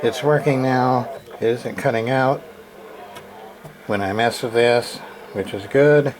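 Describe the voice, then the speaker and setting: A man's voice: mid-range voice, slow, low-quality recording. A man casually narrating hands-on repair work as a hobbyist, plain-spoken and muttering a little as he works. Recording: clear background noise.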